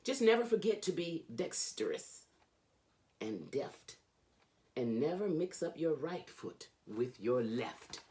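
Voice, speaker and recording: Very low-voiced woman reading slowly and expressively. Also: big pitch swings, warm tone. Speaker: host of a children's read-aloud channel. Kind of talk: expressive children's storybook read-aloud.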